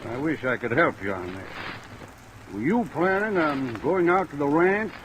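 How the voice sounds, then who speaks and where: An actor playing a guarded, suspicious small-town defense lawyer in an old radio drama, speaking in a measured, deliberate way. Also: male voice, fairly high pitch, expressive, lightly acted. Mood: firm, earnest. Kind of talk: 1940s-50s radio drama acting. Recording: noisy old radio recording, rain over it.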